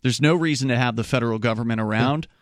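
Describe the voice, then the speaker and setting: A man from the American South co-hosting a talk radio show, emphatic and quick to jump in. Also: medium pitch, dry recording, clean studio sound. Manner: emphatic, quick to agree and interject. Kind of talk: casual talk radio chat. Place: radio studio. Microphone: studio mic, close.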